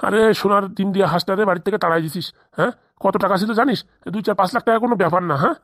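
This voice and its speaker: A man's voice: sits high, rapid-fire, a bit bright, no room sound, studio quality. A man voicing a defensive, whining old father who lends money, in a comedy cartoon. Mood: defensive, grumbling. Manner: whining, defensive, blame-shifting.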